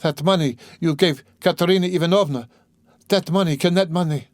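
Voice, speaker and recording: A male narrator puts on a tender-hearted young woman, pitched high and full of distress. She is timid yet urgent, pleading and questioning in a dry storybook recording. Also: animated, normal speed, darkish tone, dry recording, lightly acted. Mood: horrified, pleading. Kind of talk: dramatic audiobook character reading.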